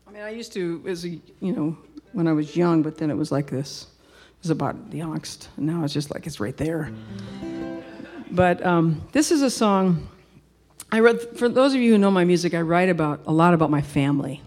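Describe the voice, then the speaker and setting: A woman's voice: lowish voice, animated, normal speed, darkish tone, faint music behind. A middle-aged woman singer-songwriter introducing a song in casual, rambling, reflective stage talk.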